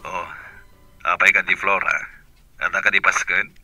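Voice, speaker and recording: A man acting in a radio drama as Arman, a calm and supportive male friend. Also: low-pitched, a little slow, faint music behind, exaggerated voice.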